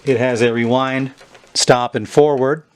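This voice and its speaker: A man giving an unscripted, halting demonstration of equipment, thinking aloud as he handles it. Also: medium pitch, steady tone, smooth. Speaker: host demonstrating a vintage tape recorder. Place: indoors at a tabletop.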